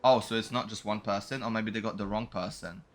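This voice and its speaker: A man's voice: on the low side, steady tone, brisk, faint music behind. A young man giving casual, slangy gameplay commentary, musing aloud as he goes along. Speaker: game streamer.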